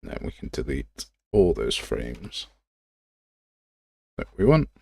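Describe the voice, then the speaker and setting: Man, casual tutorial streamer, creaky voice, talking to himself, close dry sound. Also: very deep voice, huge pitch swings, takes it slowly, mellow, no room sound. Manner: muttering to himself while working. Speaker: game development livestreamer. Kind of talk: casual live tutorial commentary.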